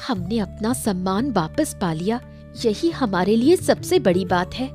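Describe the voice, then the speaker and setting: A woman's voice: medium pitch, lively intonation, measured pace, a little mellow, faint music behind, lightly acted. A woman playing a supportive, wise village wife in a Hindi cartoon story, speaking in an earnest, reassuring manner. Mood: content, principled.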